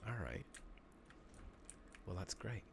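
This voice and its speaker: Deep, gravelly soft-spoken man. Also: sing-song, takes it slowly. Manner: muttering, thinking out loud. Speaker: game streamer narrating a playthrough. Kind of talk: soft-spoken, partly whispered ASMR gaming commentary. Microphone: close makeshift microphone. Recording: noisy makeshift mic with clicking.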